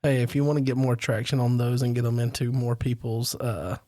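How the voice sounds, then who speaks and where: Male podcast host, casual rambling storyteller with a self-deprecating streak, narrow pitch range, dry close sound. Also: fairly even intonation, brisk, warm tone, no room sound. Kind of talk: casual roundtable chat.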